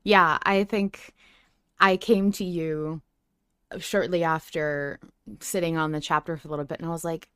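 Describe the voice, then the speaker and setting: Woman chatting on a podcast, lowish smooth voice, super informal, says like a lot. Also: fairly even intonation, moderate pace, fairly bright tone, no room sound, clean studio sound. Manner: informal, peppered with "like". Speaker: podcast co-host. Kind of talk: casual podcast chat.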